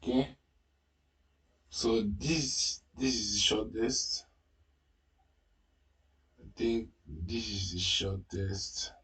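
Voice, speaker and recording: Tutorial host, male, French native speaker, hesitant and unhurried, slightly low pitch. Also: a bit bright, distant mic, poor audio. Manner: hesitant, many fillers and restarts. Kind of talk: casual screen-recorded tutorial.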